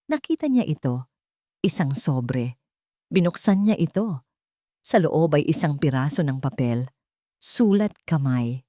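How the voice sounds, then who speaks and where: A woman's voice: low-pitched, mellow, no echo, clean studio sound. A woman reading a scripted dramatic story as narrator, in an emotive and suspenseful manner.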